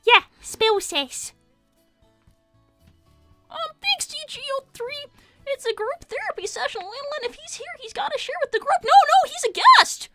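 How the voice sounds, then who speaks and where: Woman as cheeky cartoon animal girl, British accent, piercingly high, bright, exclamatory. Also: big pitch swings, normal speed, soft background music, exaggerated voice. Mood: flustered. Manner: cheeky, exclamatory, swearing mildly. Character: brash, gossipy cartoon animal girl, young adult. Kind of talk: improvised in-character livestream chat.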